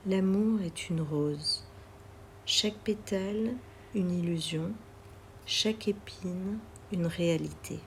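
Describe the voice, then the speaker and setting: A woman reads literary poetry aloud in a measured, deliberate way, pausing between phrases. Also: lowish voice, slight background noise. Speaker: poetry reader.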